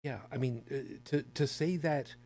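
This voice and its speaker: A man from the United States co-hosting a podcast, giving casual, opinionated commentary in a halting, emphatic, sardonic way. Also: medium pitch, a little mellow. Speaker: podcast co-host.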